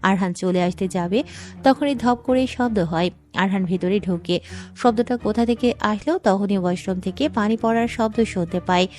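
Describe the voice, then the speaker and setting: A woman's voice: mid-range voice, normal speed, darkish tone. A woman reading scripted story narration as the narrator.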